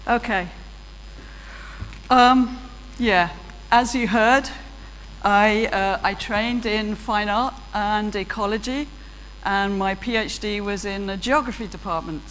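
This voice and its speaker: A woman's voice: medium pitch, a bit bright. A British woman giving a chatty, self-deprecating keynote talk on stage with a handheld mic. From Britain. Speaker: keynote speaker. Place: large auditorium stage with audience. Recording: long hall reverb, faint hum.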